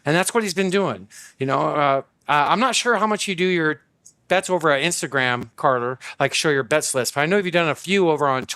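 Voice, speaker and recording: A man's voice: on the high side, brisk, pushed and bright, no echo. A man hosting a sports podcast, hyping up and plugging his guest in a casual way, with frequent fillers. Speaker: podcast host.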